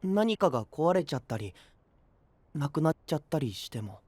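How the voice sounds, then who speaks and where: Boyish, animated, easygoing young man. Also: a little high, normal speed, no echo. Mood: wistful, resigned. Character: easygoing, friendly young man hiding frustration. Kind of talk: anime voice drama acting.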